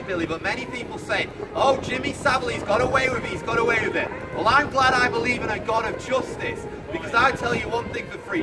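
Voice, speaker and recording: A man in his thirties with a British accent, preaching in the open air on a town pavement and quizzing passers-by directly. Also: very high voice. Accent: British English. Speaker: street preacher. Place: outdoors on a town-centre pavement. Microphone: far camera. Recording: distant voice with loud street noise.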